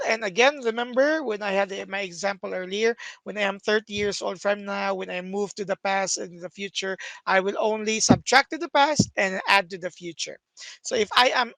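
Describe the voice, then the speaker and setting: Young guy teacher walking thru math steps slowly, gravelly bright voice, dry close sound. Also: high voice, dry recording, low-quality recording. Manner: patient step-by-step explaining with frequent "okay". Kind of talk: recorded screen-share math lesson.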